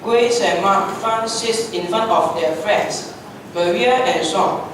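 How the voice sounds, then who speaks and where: A woman's voice: medium pitch, takes it slowly. A young woman reading scripted story narration aloud in a readers' theatre performance. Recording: very echoey hall, distant mic, noisy.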